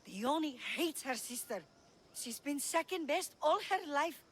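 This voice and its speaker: A frightened, high-voiced servant. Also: female voice, lively intonation, slight background noise. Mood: anxious. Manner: nervous, halting. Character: frightened, pleading female servant of a priestess. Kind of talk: video game cutscene acting.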